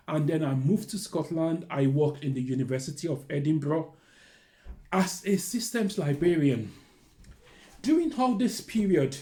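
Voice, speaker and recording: A man's voice: a little high, warm tone. A middle-aged man with a Nigerian accent, a careers adviser earnestly telling his own career story and giving advice. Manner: earnest, candid, repeats phrases like "to be honest".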